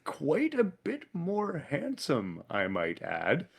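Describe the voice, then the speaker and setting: A man's voice: on the high side, huge pitch swings, slow pace, creaky, slightly rough. A man co-hosting a podcast in casual chat, laughing easily, restarting sentences and swearing casually. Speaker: podcast co-host.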